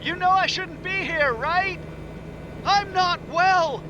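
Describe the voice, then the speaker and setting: Anxious, sardonic anthropologist over noise. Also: male voice, extremely high pitch, slow pace, highs cut off. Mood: nervous, bitter. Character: anxious, sarcastic male anthropologist tormented by visions. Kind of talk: audio drama acting.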